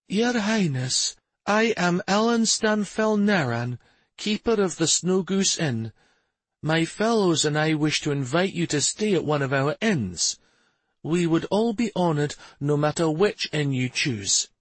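Man putting on a high-voiced older woman innkeeper. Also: lively intonation, no room sound. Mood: deferential. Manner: formal, courtly, slow.

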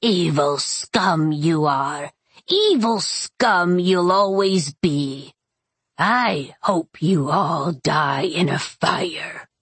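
Woman acting venomous old witch, slow and husky. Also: fairly low pitch, expressive, a bit bright, dry recording, exaggerated voice. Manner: drawn-out, venomous.

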